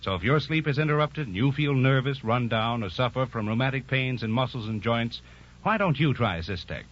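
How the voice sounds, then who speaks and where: A man's voice: mid-range voice, lively intonation, brisk. A man delivering a scripted 1940s radio advertisement, earnest and carefully enunciated. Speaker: commercial announcer. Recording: muffled 1940s radio recording.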